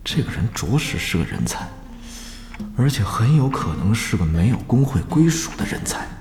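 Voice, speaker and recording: Young guild player, eager, ingratiating, exasperated haggler; lively pitch, reverberant audio-drama mix with music. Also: male voice, normal speed. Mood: friendly, persuasive. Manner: ingratiating, animated, with muttered exasperated asides. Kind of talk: Mandarin audio drama acting.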